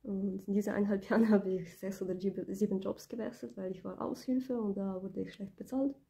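Woman in her 20s, got a cold, talks soft with lots of ums, a bit gravelly, into her phone. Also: mid-range voice, steady tone, brisk. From Ukraine. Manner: soft-spoken, hesitant, many fillers. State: has a cold. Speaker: beauty business owner. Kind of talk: casual personal vlog. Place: small indoor room, plain wall. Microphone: phone camera close up.

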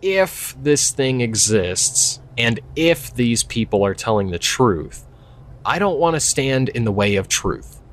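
Male actor, young park ranger, audio drama, skeptical, casual, hesitant, dutiful, mid-pitched. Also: big pitch swings, slow. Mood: earnest. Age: young adult. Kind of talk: naturalistic audio drama dialogue.

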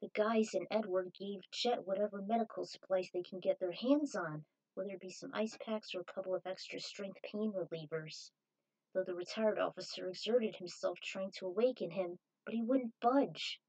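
A woman's voice: medium pitch, moderate pace, darkish tone, poor audio. A woman reading fan fiction aloud as an amateur narrator. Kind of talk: amateur story narration with acted dialogue.

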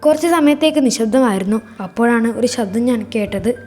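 A woman narrates a scripted first-person horror story in a measured, suspenseful way. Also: a little high, expressive, warm tone, soft background music.

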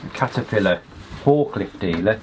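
A man's voice: on the low side, lively intonation, moderate pace, darkish tone, slight background noise, low-quality recording. A middle-aged man explaining engine parts step by step in a small boat cabin. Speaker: mechanic friend helping with the engine.

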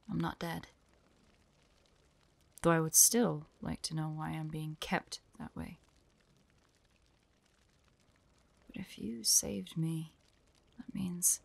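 A woman voicing a guarded, proud young hunter in an audio roleplay, terse and prickly, often dropping into hushed, breathy asides. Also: low-pitched, full character voice. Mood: suspicious, indignant. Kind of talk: dramatic audio roleplay, addressed to an unheard listener.

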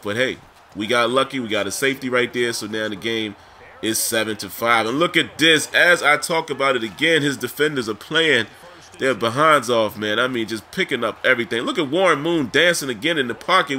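A man hosting a gameplay video with casual commentary addressed to viewers, his voice scratchy and raspy from being under the weather. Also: mid-range voice, pushed and bright. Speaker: gaming video host.

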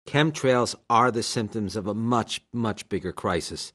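A man acting a calm sixty-year-old doctor and yogi in a radio drama, collected and reasoning. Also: fairly low pitch, measured pace.

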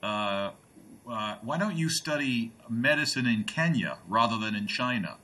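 An older man hosting, asking slow, clear, encouraging questions over a video call. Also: mid-range voice, animated, pushed and bright. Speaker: host. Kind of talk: friendly interview questions.

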